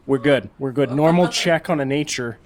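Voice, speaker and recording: A man's voice: medium pitch, normal speed. A man chatting casually as a player in a tabletop role-playing game. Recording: faint music behind the voice. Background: faint background music.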